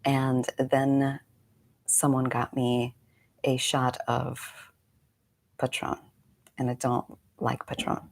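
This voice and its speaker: A woman's voice: a bass voice, monotone, slow, creaky, pauses often. A woman in her forties, a meditation coach, chatting casually on a live stream, slightly congested and rambling through friendly asides. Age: 40s.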